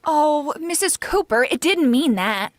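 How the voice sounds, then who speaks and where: Lady doing a wry old-time radio maid, highish lively voice, dry and knowing, close clean sound. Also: unhurried, dry recording. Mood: puzzled, dry. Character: wry, loyal female housemaid in a radio comedy. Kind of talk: 1940s radio sitcom acting.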